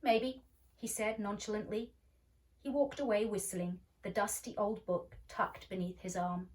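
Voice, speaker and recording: A woman reading a story aloud as a library storyteller, measured and expressive, recorded at home. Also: medium pitch. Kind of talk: storybook read-aloud narration. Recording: slightly distant microphone.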